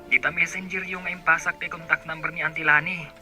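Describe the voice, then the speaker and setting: A man's voice: a little high, little pitch movement, fairly quick, pushed and bright, soft background music. An actor playing an eager grown Ilocano son confiding in his mother in a radio drama.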